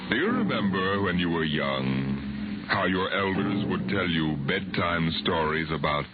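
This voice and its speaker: American male announcer, extremely low voice, portentous, deliberate, tinny vintage radio, loud music behind. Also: big pitch swings. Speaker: anthology announcer. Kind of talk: dramatic 1950s radio narration. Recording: thin old radio recording under music.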